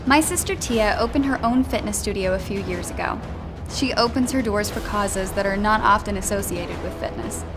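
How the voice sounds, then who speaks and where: A woman's voice: medium pitch, normal speed. A woman reading a written tribute aloud in scripted narration. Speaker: company employee reading submission stories. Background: background music.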